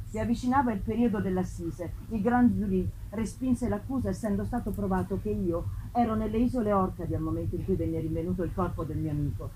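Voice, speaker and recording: A woman giving a theatrical literary reading, emphatic and full of pauses. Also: medium pitch, warm tone, slight background noise, poor audio. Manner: theatrical, emphatic, pausing, repeating phrases. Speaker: actress reading a gothic novel. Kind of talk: dramatic literary reading with live music. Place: live festival performance. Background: live music.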